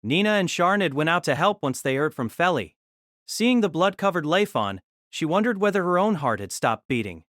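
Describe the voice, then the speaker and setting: Female narrator for novel audio drama. Lively, varied intonation, dramatic storytelling, dry close sound. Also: fairly low pitch, moderate pace, no echo.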